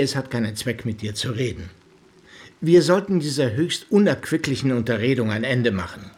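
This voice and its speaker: An actor playing a stern, indignant middle-aged uncle in a German radio drama, speaking formally and reproachfully with weighty pauses. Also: male voice, mid-range voice, husky. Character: stern, indignant uncle, patriarch of a genteel household.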